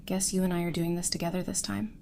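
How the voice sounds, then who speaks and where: A woman's voice: lowish voice, little pitch movement, fast talker, vocal fry, dark tone, a little hiss or hum. A woman playing an upbeat, teasing expectant mother and loyal best friend in an audio drama. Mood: teasing, excited.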